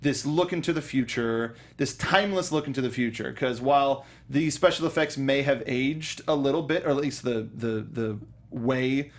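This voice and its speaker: A man's voice: medium pitch, normal speed. A young man co-hosting a casual movie review show, enthusiastic and rambling, often restarting his sentences. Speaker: podcast co-host. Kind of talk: casual film review chat.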